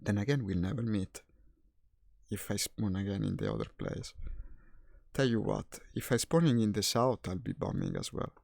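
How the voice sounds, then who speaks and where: A man's voice: fairly low pitch, wildly swooping pitch, normal speed, vocal fry, a little mellow, dry recording. A man from Italy with an Italian accent, a streamer chatting casually and thinking aloud while playing. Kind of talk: casual gaming chat.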